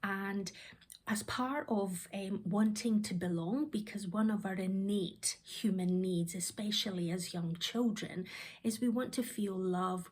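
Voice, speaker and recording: Husky Scottish woman in her fifties. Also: medium pitch, darkish tone. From Scotland. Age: 50s. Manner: thoughtful, rambling with frequent ums and restarts. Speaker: vlogger. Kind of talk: reflective personal storytelling.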